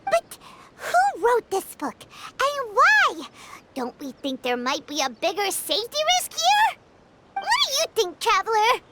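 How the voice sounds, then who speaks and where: Squeaky little fairy sidekick. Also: female voice, wildly swooping pitch, unhurried, very noisy, heavily acted. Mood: anxious. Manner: squeaky, bouncy and worried. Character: tiny floating female fairy companion, chirpy and excitable. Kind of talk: game voice acting.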